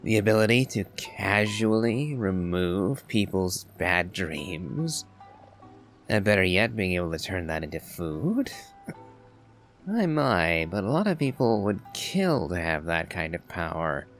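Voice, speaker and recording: A man giving casual game commentary as a streamer, in a musing, wry and measured way. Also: low voice, big pitch swings, soft background music. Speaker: gaming streamer.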